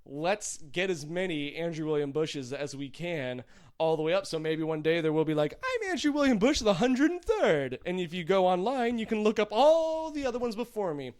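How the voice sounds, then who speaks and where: A man's voice: high-pitched, animated, normal speed, a little mellow, smooth. A man in a casual comedic chat as a podcast co-host, rambling and acting out imagined bits of dialogue as he goes.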